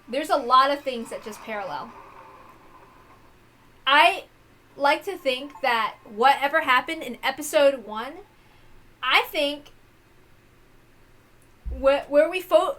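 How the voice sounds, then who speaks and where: A woman hosting a read-along, giving casual commentary in a rambling, halting way that often interrupts itself. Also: high voice, sharp tone. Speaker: host reading a visual novel aloud.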